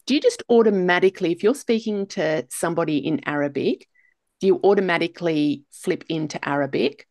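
A middle-aged Australian woman hosts a podcast conversation over a video call. Her voice sits low and a bit gravelly, with a dry, close sound. She chats easily and asks encouraging questions. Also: brisk, darkish tone, no room sound. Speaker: podcast host. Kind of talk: casual interview chat. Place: home room, video call.

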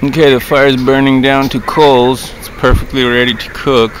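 A man's voice: medium pitch, flat delivery, normal speed. A man in his thirties casually narrating as he cooks fish over a campfire by a river, chatting to his viewers while he works. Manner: easygoing, talks to viewers while working. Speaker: outdoor vlogger. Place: outdoors by a riverbank. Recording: noisy outdoor camera audio. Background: outdoor ambience, frogs.

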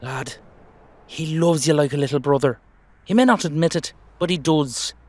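A man's voice: on the high side, normal speed, darkish tone, natural voice. Man playing a protective Irish dullahan. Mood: protective, affectionate. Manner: reassuring, colloquial. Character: protective, gruffly affectionate headless dullahan man, brotherly. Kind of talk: audio roleplay drama.

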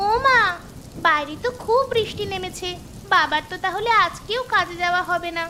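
Very high, sweet little village girl. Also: animated, moderate pace, sharp tone, a little hiss or hum, full character voice. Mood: concerned. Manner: childlike. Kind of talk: cartoon fairy tale voice acting.

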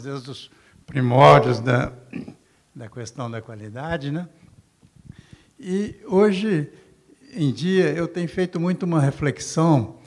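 A man in the audience of an auditorium speaks into a passed microphone, congratulating the speaker and building a reflective question. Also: a little high. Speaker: audience member asking a question. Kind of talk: question from the floor.

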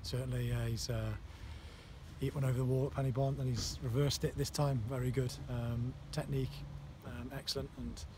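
Man, 30s, football manager, flat delivery, halting speech, outdoor noise behind. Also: medium pitch. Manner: halting, many fillers and restarts. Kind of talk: post-match interview. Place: outdoors on a floodlit football pitch at night.

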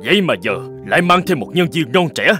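A man's voice: a little high, very animated, a little fast, over music. A man voicing a stern, demanding and dismissive company director in a cartoon, speaking bluntly and scornfully. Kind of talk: dubbed cartoon dialogue.

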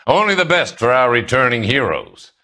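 An actor playing a condescending middle-aged American property tycoon in a 1940s crime video game, smooth-talking and self-assured. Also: male voice, mid-range voice, big pitch swings, normal speed, pushed and bright.

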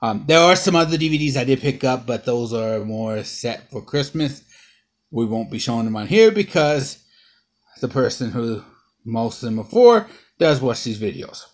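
A man in his thirties, bruised and sore after a fall, rambling through a casual haul vlog at home with plenty of ums and uhs, recorded by a close low-angle camera. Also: lively intonation, normal speed. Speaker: vlogger. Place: living room at home.

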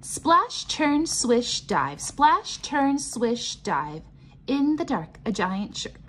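Lively, sing-song woman reading to children. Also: fairly high pitch, slight background noise. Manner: sing-song, clearly enunciated, pausing to ask questions. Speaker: teacher reading a picture book aloud. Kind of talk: children's read-aloud and simple explanation. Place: indoors, at a table.

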